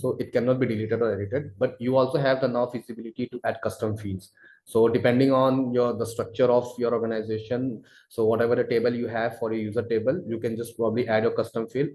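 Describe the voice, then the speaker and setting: A man presenting a software product in a webinar, with a halting, informal delivery full of verbal tics. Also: medium pitch, slight background noise. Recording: echoey room sound.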